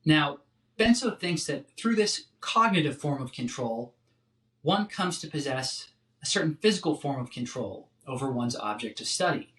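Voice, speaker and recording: A man delivering a scripted academic lecture in a measured, articulate, emphatic way, like a professor. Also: a little high, expressive, fairly bright tone. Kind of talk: scripted philosophy lecture narration. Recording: roomy, echoing sound.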